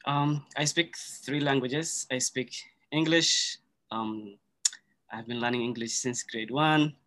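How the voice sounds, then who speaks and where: Earnest Somali-native man, measured podcast guest, middle-range voice. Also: medium pitch, steady tone, sharp tone. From Kenya. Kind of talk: casual conversation.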